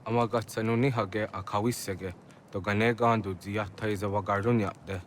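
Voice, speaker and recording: A man's voice: on the low side, steady tone, fairly quick, a little hiss or hum, close to an everyday voice. An actor playing a village man in a dramatic scene from a historical video game. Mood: serious.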